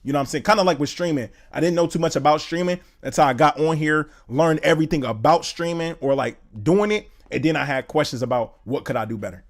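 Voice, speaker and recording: Slangy young man streaming, higher voice. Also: fast talker, few pauses. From Indiana, USA. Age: 20s. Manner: slangy, loose, says "bro" a lot; reads chat aloud and thinks out loud. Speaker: live streamer. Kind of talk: casual live-stream chat.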